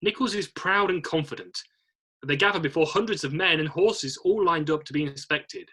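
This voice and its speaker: A man's voice: on the high side, moderate pace, sharp tone, breathy edge. A man narrating, reading screenplay stage directions aloud in an amateur read-through.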